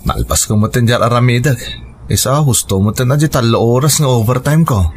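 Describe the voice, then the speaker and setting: A man's voice: medium pitch, brisk, slight background noise, lightly acted. An actor playing a tired, plain-spoken working husband and father in a radio drama, with a Filipino accent. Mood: weary. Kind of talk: naturalistic radio drama acting. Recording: music bed behind radio drama dialogue.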